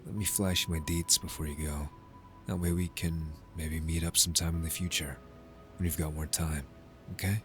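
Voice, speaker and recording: A man playing a tender, wistful young lover in an intimate cyberpunk ASMR roleplay, hesitant and gently pleading. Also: a bass voice, steady tone, moderate pace, faint music behind. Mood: resigned, affectionate. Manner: soft-hearted, hesitant, intimate.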